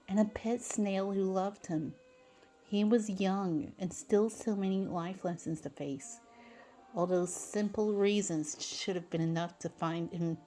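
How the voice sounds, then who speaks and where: A woman's voice: lowish voice, dark tone. A woman narrating fan fiction dramatically, stumbling over some words as she reads.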